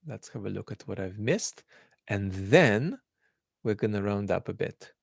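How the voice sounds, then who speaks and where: Low gravelly man, musing hesitantly. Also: expressive, unhurried, no room sound, studio quality. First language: Russian. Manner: hesitant and reflective, frequent ums and restarts. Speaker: host reading viewer questions. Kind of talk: casual livestream Q&A, thinking aloud.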